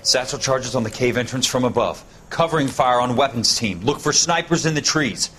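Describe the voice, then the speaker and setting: A man's voice: medium pitch, moderate pace, fairly bright tone, soft background music, close to an everyday voice. A man acting in a video game as a tough, weary ex-Marine sergeant, terse and commanding. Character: tough weary no-nonsense male ex-Marine sergeant turned investigator.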